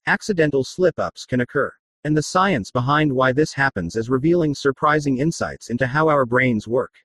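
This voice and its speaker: A man tells a documentary story in a lively, rising-and-falling voice with a slightly husky grain. He is a native English speaker, and he explains each point clearly. His voice sounds close and dry, with no room echo. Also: mid-range voice. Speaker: reporter and narrator. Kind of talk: scripted documentary narration.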